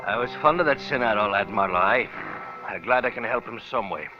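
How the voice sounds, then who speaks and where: A man's voice: fairly low pitch, animated, fairly quick, over music, close to an everyday voice. An actor playing an old, plainspoken boat repairman with a folksy manner in a radio detective drama. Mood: fond, folksy. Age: older. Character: tough old boat repairman, gruff but fond and plainspoken. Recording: dull old radio recording, faint noise.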